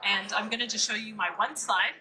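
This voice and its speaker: A woman's voice: medium pitch, fairly even intonation, brisk, noisy background. A woman from California with a Californian American accent, giving an upbeat conference presentation and asking and answering her own questions.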